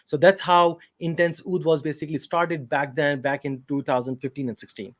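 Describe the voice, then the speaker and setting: A man's voice: a little high, little pitch movement, normal speed. A man from Pakistan, a company CEO, answering interview questions hesitantly over a video call, with many fillers and restarts.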